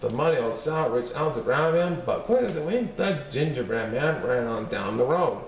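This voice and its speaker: Lively man reading a children's story. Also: medium pitch, moderate pace, far from the mic, a little hiss or hum. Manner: lively, expressive. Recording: roomy echo, faint ambience.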